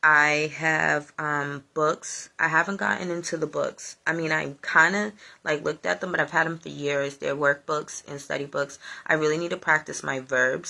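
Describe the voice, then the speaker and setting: A woman's voice: deep voice, vocal fry, bright, forceful tone. A woman whose first language was Spanish, hosting a casual, rambling livestream with plenty of fillers. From United States, Honduran family. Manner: rambling, chatty, many fillers. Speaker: livestream host. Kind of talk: casual reflective live chat.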